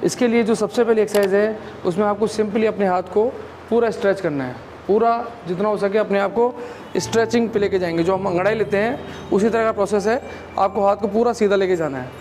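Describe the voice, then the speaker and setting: A man in his thirties, a physiotherapist, calmly explaining exercises step by step in a clinic room, on a lapel mic. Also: sits high, a little fast, a little hiss or hum. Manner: calm, instructive, explains step by step.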